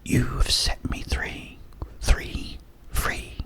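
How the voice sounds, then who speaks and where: A man with a Cockney accent voicing a grand male genie in a slow whisper. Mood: grandly. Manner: whispered, drawn out.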